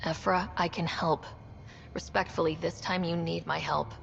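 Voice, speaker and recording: An actress playing an earnest young human space explorer in a video game, plain-spoken and diplomatic. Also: female voice, lowish voice, steady tone, moderate pace, gravelly, fairly bright tone, a little hiss or hum. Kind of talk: cinematic video game acting. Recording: dull game audio with faint music.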